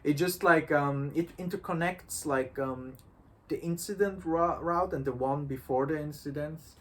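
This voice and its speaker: A man co-hosting a casual, rambling review discussion, speaking in a halting, hedging way with slightly unusual phrasing. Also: on the high side, fairly bright tone. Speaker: co-host of a visual novel review show.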